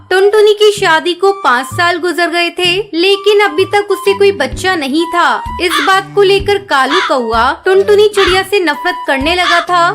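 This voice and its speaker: A woman narrating a scripted children's cartoon story. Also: extremely high pitch. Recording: loud music behind the voice.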